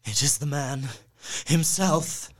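An actor playing a frightened, frail husband in an audio drama, speaking haltingly and repeating himself. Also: male voice, a little high. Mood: terrified. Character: frightened, frail English husband, haunted and dazed.

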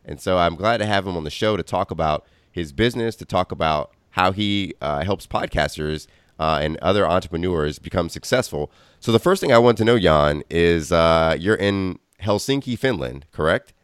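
Very deep, smooth-voiced male podcast host. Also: expressive, moderate pace, no room sound. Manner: enthusiastic, filler-heavy, keeps asking "right?". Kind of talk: podcast interview hosting.

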